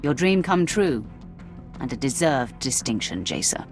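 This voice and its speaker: A woman's voice: very deep voice, sing-song, a little slow, faint music behind, full character voice. An actress playing a commanding female Sith lord in a game, speaking in a cool, clipped, sardonic way. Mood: dry, imperious. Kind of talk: video game voice acting.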